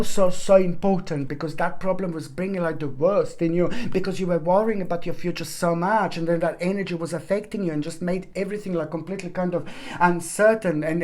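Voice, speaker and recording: A woman's voice: fairly low pitch, a little mellow. A woman reading a weekly tarot forecast, talking in a gushing, effusive way with many fillers and restarts, and calling her listeners by pet names. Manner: addresses listeners as "my gorgeous" sign. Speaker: tarot reader.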